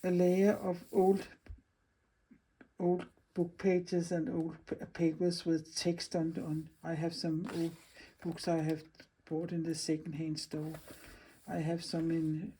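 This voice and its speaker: Danish woman, halting friendly chat. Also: deep voice, little pitch movement, warm tone, slightly rough, poor audio. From Denmark. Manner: halting, searching for English words, friendly. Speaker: craft channel host. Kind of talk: casual craft vlog chat.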